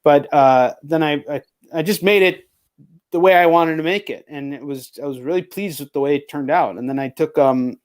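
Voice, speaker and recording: High-pitched man, candid and sweary. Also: normal speed. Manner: candid, profane, rambling. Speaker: podcast host. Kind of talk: casual chat.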